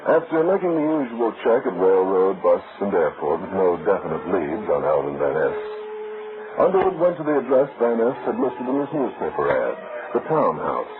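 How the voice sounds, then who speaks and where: Radio narrator, muffled vintage recording. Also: male voice, deep voice, very animated, normal speed, noisy background. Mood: measured, formal. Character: formal male narrator of a detective story. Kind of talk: scripted old-time radio narration.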